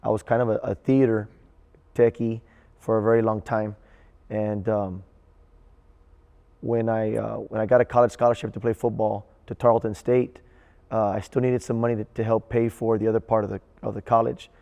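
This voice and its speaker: Gravelly, flat, low man's voice. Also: normal speed. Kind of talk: interview. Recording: reverberant hall.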